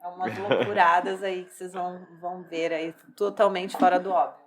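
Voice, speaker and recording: Low-voiced woman telling her story. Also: little pitch movement, normal speed, crowd noise. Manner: candid, rambling, with many fillers and restarts. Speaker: bodybuilding athlete guest. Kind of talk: personal life story in casual chat.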